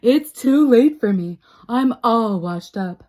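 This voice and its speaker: Woman voicing a dramatic, excitable little spider, swooping pitch. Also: takes it slowly. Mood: glum, dramatic. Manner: exclamatory, theatrical complaining. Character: dramatic, anxious female cartoon spider turning plucky climber. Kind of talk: children's storybook character voice.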